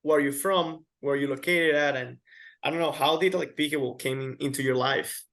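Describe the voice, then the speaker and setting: A man's voice: a little high, fairly even intonation, brisk, fairly bright tone. A man hosting an interview, upbeat and chatty, often restarting his questions. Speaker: host.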